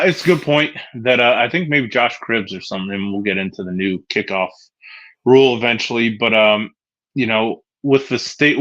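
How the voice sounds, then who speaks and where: A man from co-hosting a casual sports podcast, talking hesitantly with many pauses and restarts. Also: fairly low pitch, fairly bright tone. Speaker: podcast co-host. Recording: dull lo-fi sound.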